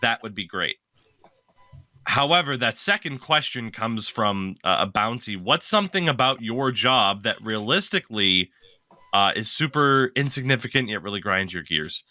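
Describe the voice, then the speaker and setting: A man's voice: fairly low pitch, lively intonation, normal speed, bright, forceful tone, dry recording. A young man co-hosting a podcast, chatting casually, rambling and correcting himself as he goes, swearing now and then. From lives in Maine. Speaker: podcast co-host. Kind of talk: casual chat.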